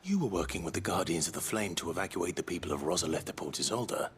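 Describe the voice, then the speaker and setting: Deep, reserved young hero. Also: male voice, lively intonation, fast talker, a little hiss or hum. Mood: guarded. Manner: terse, reserved. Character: serious, reserved young nobleman, a battle-hardened hero. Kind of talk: theatrical fantasy game dialogue.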